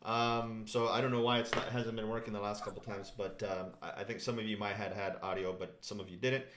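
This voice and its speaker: A man's voice: lowish voice, steady tone, fairly quick, roomy sound, noisy background. A man moderating a virtual meeting in a casual, joking way, with frequent fillers, heard over a video call.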